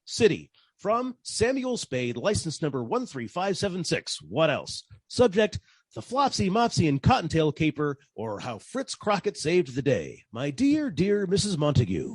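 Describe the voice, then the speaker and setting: Irritable, sardonic male gumshoe, played by a man, higher-pitched and animated, hard-boiled 1940s radio style. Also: slightly rough, no echo, exaggerated voice. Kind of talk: 1940s radio detective acting.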